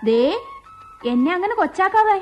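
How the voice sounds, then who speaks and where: An actress playing a quick-tempered young wife who snaps, sulks and whimpers. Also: female voice, extremely high pitch, sing-song, faint music behind. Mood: annoyed. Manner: snappy, sulking, whimpering. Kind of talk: radio play acting.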